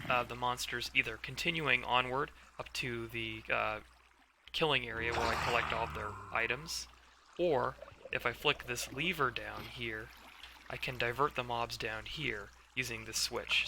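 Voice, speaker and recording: A man with a hoarse voice from being under the weather, hosting a casual gameplay commentary and explaining things step by step with many fillers. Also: mid-range voice, background noise. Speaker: let's play host. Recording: game music playing behind voice.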